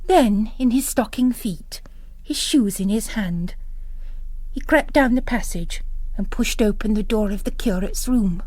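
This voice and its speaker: A woman narrating literary fiction in a measured, deliberate scripted reading. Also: fairly high pitch, a little mellow, no echo. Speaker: narrator, reader.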